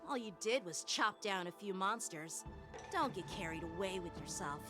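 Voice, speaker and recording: A woman's voice: mid-range voice, animated, normal speed, lightly acted. Woman as a composed, combative young swordswoman. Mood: irritated, defiant. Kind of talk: anime game voice acting. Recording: game dialogue over clear music.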